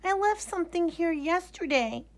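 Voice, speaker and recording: A woman's voice: extremely high pitch, lively intonation, normal speed, voice pushed up. A woman voicing an eager, affectionate little girl in a storybook, with an exclamatory, heartfelt delivery. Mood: polite, anxious.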